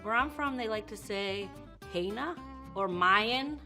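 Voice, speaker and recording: A woman's voice: mid-range voice, expressive, slow, pushed and bright, faint music behind. A woman from New Mexico casually describing how people talk where she lives. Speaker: interviewee.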